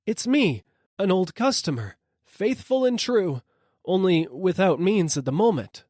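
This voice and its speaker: A man acts out a poor, freezing customer from a storybook, crying out humbly and desperately. The pleading voice rides high and swings widely in pitch, in a dry, close sound. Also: sing-song, a little slow, a little mellow, no room sound, heavily acted.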